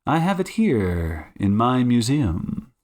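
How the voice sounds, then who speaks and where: A man playing a shrewd, commanding detective in an audiobook, suave and mocking, with deliberate, condescendingly polite delivery. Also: mid-range voice, very animated, darkish tone. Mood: triumphant. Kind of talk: audiobook character acting.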